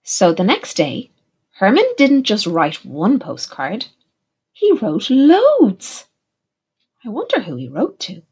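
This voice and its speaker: A woman teacher reading a picture book aloud in an expressive, deliberate way for young children. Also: medium pitch, slightly rough. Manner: animated, deliberate, puts questions to young listeners. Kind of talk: expressive storybook narration for children.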